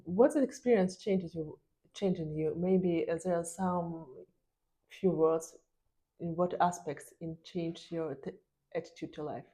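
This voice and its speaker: A woman's voice: fairly low pitch, a little slow, warm tone. A woman interviewing a guest in casual conversation, hesitant and searching for words.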